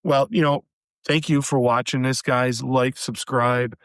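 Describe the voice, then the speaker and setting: A man hosting a solo horror movie review podcast, chatty and casual, calling his listeners guys, his voice a little hoarse from a recent cold. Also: medium pitch, steady tone, unhurried, no echo, clean studio sound. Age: adult with a daughter. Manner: chatty, addresses listeners as guys, many fillers. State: slightly hoarse, recovering from a cold.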